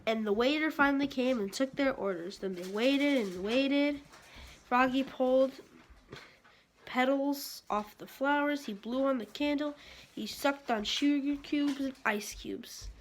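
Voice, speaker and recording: A woman's voice: high voice, a little slow, a little hiss or hum. A woman reading a children's story aloud expressively, with casual asides. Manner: expressive, acts out sound effects. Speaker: picture book reader.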